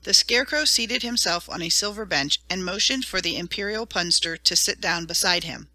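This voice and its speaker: A woman narrating a storybook audiobook in a clear, even manner. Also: on the low side, moderate pace, no room sound.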